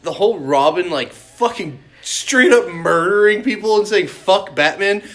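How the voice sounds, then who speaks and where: A man's voice: high-pitched, expressive, unhurried, a bit bright. A man co-hosting a podcast in casual, profane, slangy banter about comics and movies. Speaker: podcast co-host.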